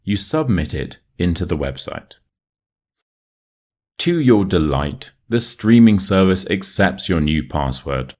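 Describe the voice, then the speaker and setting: A man with a modern RP British accent reading a short story as an English teacher, in a measured, clearly enunciated way. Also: deep voice, sing-song. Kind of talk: scripted story narration for listening practice.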